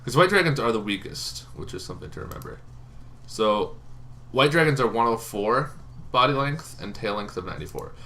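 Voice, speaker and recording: A man hosting a tabletop gaming channel, explaining casually off the cuff in an informal, halting way, as if thinking aloud. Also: mid-range voice, expressive, bright, forceful tone. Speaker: host of a tabletop roleplaying lore channel. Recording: noticeable background noise.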